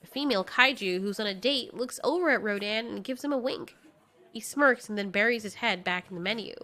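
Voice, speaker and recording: A woman's voice: medium pitch, fairly quick, pushed and bright. A woman reading scripted narration for an audio drama in a dramatic storytelling manner.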